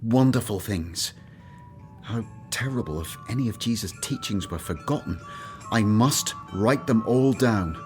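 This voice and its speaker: An actor playing a weary, anxious, grumbling former tax collector turned disciple in a radio play, brooding and earnest. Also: male voice, on the low side, expressive, measured pace, over music. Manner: brooding, earnest, self-doubting.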